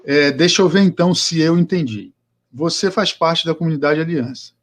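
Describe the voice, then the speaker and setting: A man's voice: fairly high pitch, moderate pace. A man hosting a casual podcast interview, asking long, rambling questions with many restarts. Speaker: podcast host.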